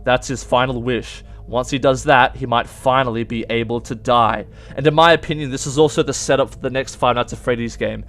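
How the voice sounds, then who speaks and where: A man's voice: mid-range voice, steady tone, brisk, hardly any pauses, a bit bright. A young adult man hosting casual game theory commentary, addressing viewers directly and emphatically. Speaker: YouTube game theory host.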